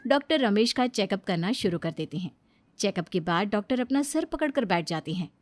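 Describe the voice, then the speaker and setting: A woman narrating a cartoon moral story in a steady, plain storytelling voice. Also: medium pitch, a little fast, no room sound.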